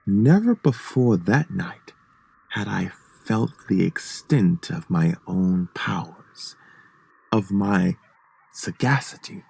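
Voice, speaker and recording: A man playing a sly, gleeful murderous madman in a theatrical, halting gothic horror reading that drops into whispers. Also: deep voice, expressive, gravelly, warm tone, husky, heavily acted. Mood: sly triumph.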